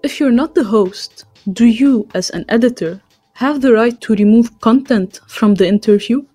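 A woman hosting a podcast, delivering prepared questions and scripted lines in a measured, formal way. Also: fairly high pitch, dark tone, faint music behind. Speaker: podcast host.

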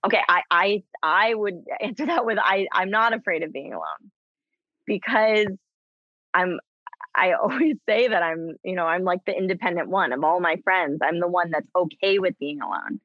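A woman in her thirties talking candidly as a guest, halting with many restarts and frequent laughs. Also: fairly low pitch, dry recording, highs cut off. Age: 30s. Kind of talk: candid conversation.

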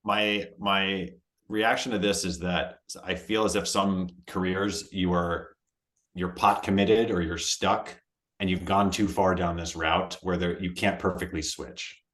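A man co-hosting a casual podcast, talking in an animated way as he thinks aloud. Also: deep voice, unhurried. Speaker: podcast co-host. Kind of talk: casual conversation. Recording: roomy echo.

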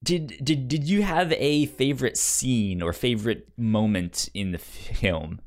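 A young adult man co-hosting a podcast, talking film casually in a tentative, halting way, often repeating syllables. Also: mid-range voice. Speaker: podcast co-host.

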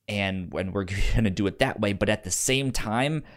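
A man's voice: fairly low pitch, normal speed. A man co-hosting a podcast in casual, unscripted chat, often repeating words as he thinks aloud. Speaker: podcast co-host.

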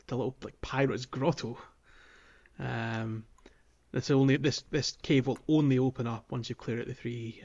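A man hosting a podcast, casually guiding listeners through a video game in a halting, think-aloud way. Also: medium pitch. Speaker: podcast host.

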